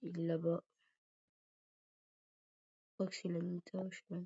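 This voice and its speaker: A man's voice: high-pitched, completely flat delivery, moderate pace, vocal fry, warm tone, breathy edge. A man with a cold and a sore throat, rambling hesitantly through casual commentary over his gameplay. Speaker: gaming YouTuber. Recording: dull lo-fi recording.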